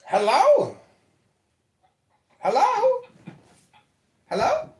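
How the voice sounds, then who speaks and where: A man's voice: very high voice, wildly swooping pitch, extremely slow, a little mellow, roomy sound. A man hosting a livestream, heckling in a group voice chat, rambling in a mock-philosophical way and repeating himself. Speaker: livestream host.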